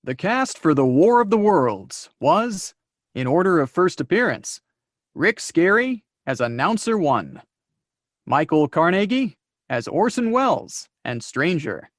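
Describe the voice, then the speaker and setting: High-voiced man reading cast credits. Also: big pitch swings, measured pace, dry recording, studio quality. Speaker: credits reader.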